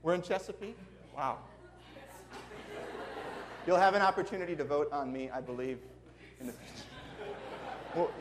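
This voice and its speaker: A man's voice: on the high side, a little hiss or hum. A man from Minnesota with a Minnesotan accent, preaching a sermon to a congregation in a church, earnest and friendly with chuckling asides. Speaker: guest preacher. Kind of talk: sermon with prayer and storytelling. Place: church sanctuary before a congregation.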